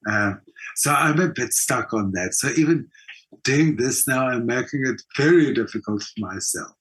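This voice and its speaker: A man's voice: medium pitch, normal speed, a bit bright, husky, rough recording. A South African man speaking reflectively in an interview, earnest and opinionated, often acting out quoted exchanges. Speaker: writer and documentary maker being interviewed.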